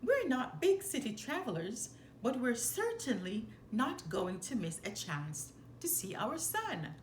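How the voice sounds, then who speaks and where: Woman playing an emphatic father mouse, raised pitch. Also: sing-song, measured pace. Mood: emphatic, affectionate. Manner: emphatic, loyal. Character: devoted, plain-living father mouse. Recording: roomy echo, faint background noise.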